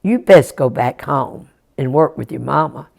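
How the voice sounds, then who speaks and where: A woman's voice: extremely low pitch, a little slow, creaky, a little mellow, full character voice. A woman storyteller voicing a kindly middle-aged country storekeeper with a folksy drawl.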